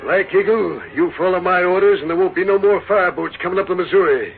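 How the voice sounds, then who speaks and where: An actor playing a curt, scheming outlaw leader in a 1940s radio western, bossy and rough in manner. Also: male voice, high voice, fast, faint music behind. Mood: commanding. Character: curt scheming male outlaw gang leader in the Old West. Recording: tinny, noisy 1940s radio recording.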